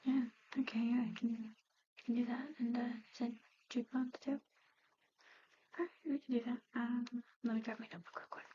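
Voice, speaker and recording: A woman doing an ASMR roleplay, speaking softly and often slipping into whispers, with a hesitant, halting delivery. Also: on the high side, level tone, highs cut off, a little hiss or hum. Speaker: ASMR performer.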